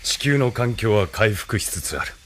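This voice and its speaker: An actor playing a composed, authoritative middle-aged colonel in an audio drama, speaking in a measured, philosophical manner. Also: male voice, fairly low pitch, animated, a bit bright, slight background noise. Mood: grave, impassioned. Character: commanding, composed male colonel, leader of a space agency. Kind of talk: Japanese audio drama acting.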